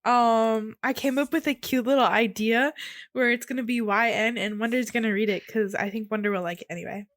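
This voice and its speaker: A woman's voice: fairly high pitch, fairly even intonation, fairly quick. A woman co-hosting a podcast with casual, playful and teasing banter. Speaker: podcast co-host.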